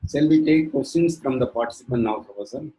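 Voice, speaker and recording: A man moderating an online webinar, formal and polite, speaking haltingly. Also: medium pitch, dark tone, slight background noise, poor audio. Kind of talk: hosting a webinar Q&A. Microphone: video call.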